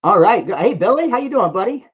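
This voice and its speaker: A man's voice: high-pitched, big pitch swings, fast talker, husky. A man hosting a sales conference call, answering questions in an encouraging way and often repeating his phrases. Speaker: host of a distributor conference call. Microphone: conference call line. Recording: dull lo-fi conference call audio.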